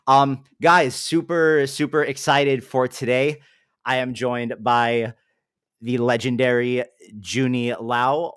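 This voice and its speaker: A man's voice: mid-range voice, fairly bright tone, smooth, dry recording, clean studio sound. A young man hosting a casual livestream, upbeat and chatty, with lots of fillers. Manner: upbeat, greets chat, filler-heavy. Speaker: livestream host.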